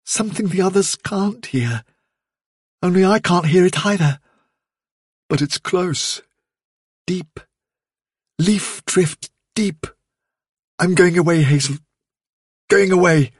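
A man's voice: high-pitched, breathy edge, no echo, clean studio sound, voice pushed up, full character voice. A man voicing a frail, feverish rabbit seer speaking as if in a trance in a storybook reading. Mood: distant, dreamy. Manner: slow, drowsy, muttering or yelping. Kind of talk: audiobook character acting.

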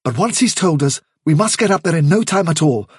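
A man's voice: on the high side, lively intonation, fairly quick, breathy edge, dry recording, studio quality, voice pushed up. A man voicing a loyal male rabbit leader in an audiobook, resolute and earnest. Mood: stubborn resolve.

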